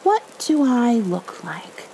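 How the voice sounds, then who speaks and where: Woman voicing an earnest, high-pitched storybook bear. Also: big pitch swings, slow, dark tone, slight background noise, heavily acted, rough recording. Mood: anxious, hopeful.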